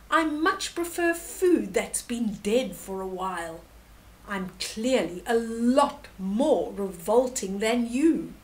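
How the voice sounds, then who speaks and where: Woman as a slow, proudly revolting vulture. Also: fairly high pitch. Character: ugly, bald, smelly lappet-faced vulture, proudly revolting. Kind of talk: storybook character reading.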